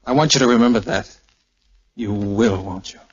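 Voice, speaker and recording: A man's voice: on the low side, normal speed, breathy edge. An American man playing a tender, wisecracking young army air officer in a 1940s radio drama. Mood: earnest, tender. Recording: muffled, lo-fi 1940s radio recording.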